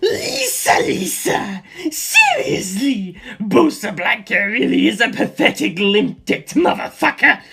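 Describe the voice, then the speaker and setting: Bratty zombie villain guy from a storybook, screechy high, yelly and taunting, foul mouthed. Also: huge pitch swings, slow pace, vocal fry, slightly rough, exaggerated voice. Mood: outraged. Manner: taunting, yelping, shouting. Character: shrieking, foul-mouthed undead flying villain, male, childish.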